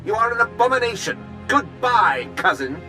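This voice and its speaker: An outraged aristocrat in a game cutscene. The voice is high and very sharp, haughty and indignant. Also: male voice, very animated, normal speed, soft background music, exaggerated voice. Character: indignant, haughty male noble. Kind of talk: video game cutscene acting.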